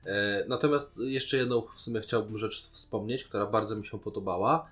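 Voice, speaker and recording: A man's voice: fairly low pitch, moderate pace. A man with a Polish accent co-hosting a podcast in casual, critical conversation. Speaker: podcast co-host. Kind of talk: casual critical discussion of a series.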